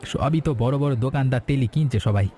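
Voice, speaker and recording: A man playing a chatty, easily impressed young villager and loyal friend in a cartoon fairy tale, excitable and plaintive. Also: medium pitch, fast talker, lightly acted. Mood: dejected.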